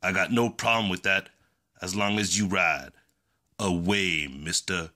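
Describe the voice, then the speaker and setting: A man playing an arrogant cowboy heavy with a slow, threatening manner in an amateur western audio drama. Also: low voice, pushed and bright. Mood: cool menace.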